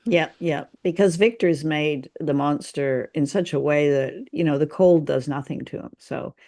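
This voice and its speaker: Low, creaky-voiced woman chatting wryly. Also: moderate pace, warm tone. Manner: hesitant, wry, thoughtful. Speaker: podcast co-host. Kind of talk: casual chat reviewing a radio drama.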